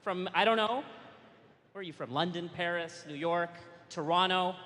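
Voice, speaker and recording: A man roaming the audience as co-host, bantering loudly and joking with attendees. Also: high-pitched, moderate pace. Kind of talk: roaming crowd interaction. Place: large hall among a crowd. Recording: hall reverb with crowd noise.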